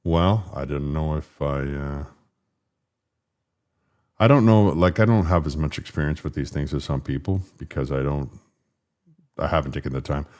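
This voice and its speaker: A man with a deep, rumbling voice hosting a casual livestream, rambling and frustrated as he fixes his stream settings. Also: moderate pace, pauses often, darkish tone. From Canada. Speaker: political livestream host.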